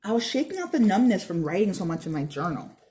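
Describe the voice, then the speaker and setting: A woman's voice: medium pitch, very animated, quick pace, warm tone. A woman reading a children's book aloud expressively, with informal, teacherly asides. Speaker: read-aloud narrator.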